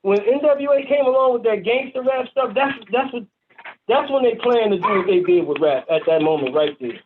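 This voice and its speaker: A man's voice: very high-pitched, expressive, brisk, background noise. Forty-something man on a tinny phone line. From New York. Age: 40s. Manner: emphatic, slangy, ends points with "bro". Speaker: panel guest. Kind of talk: casual call-in chat.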